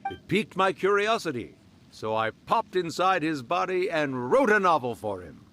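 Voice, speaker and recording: A man's voice: fairly high pitch, sing-song, normal speed, a bit bright, slight background noise. A man voicing a mischievous old male fox spirit in a video game, theatrical and boastful. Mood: teasing. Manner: theatrical, hammy villain voice, then genial and teasing. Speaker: yokai spirit character.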